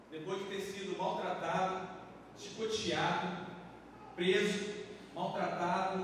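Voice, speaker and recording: A Brazilian pastor preaching a sermon to his congregation in a conversational way, often checking in with the listeners through short tag questions. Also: sits high. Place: church sanctuary. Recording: echoey church hall from far off with background noise.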